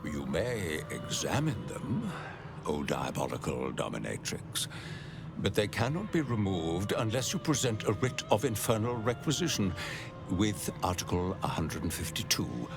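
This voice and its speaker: An actor playing an obsequious, lewd archivist of hell in a video game, with florid, formal, theatrical phrasing. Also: male voice, low voice, big pitch swings, gravelly, full character voice. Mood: unctuous, lewd. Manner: fawning, florid, formal, drawn-out. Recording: game music behind. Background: music.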